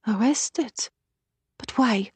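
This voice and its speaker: Audiobook role: woman reader plays commanding, worried resistance chief, female, terse questions, husky edge, dry studio closeness. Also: a little high, drawn-out words, a little mellow, dry recording, voice pushed up, heavily acted. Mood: alarmed.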